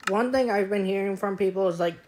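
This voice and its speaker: An eighteen-year-old woman with a youthful, childish-sounding voice, chatting casually and rambling on a gaming stream, with lots of fillers and throat clearing. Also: medium pitch, noisy background.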